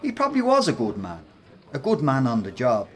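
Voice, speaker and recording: Loud, jeering workplace bully, swooping voice. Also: male voice, mid-range voice, wildly swooping pitch, fairly quick. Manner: taunting, mimics stammers. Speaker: actor in a radio play. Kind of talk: radio drama acting.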